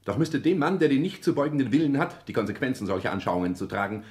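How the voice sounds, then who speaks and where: An actor playing a proud, ambitious bourgeois suitor in a 1960s German radio play, formal, courteous and insistent. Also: male voice, mid-range voice, fast talker, darkish tone, lightly acted.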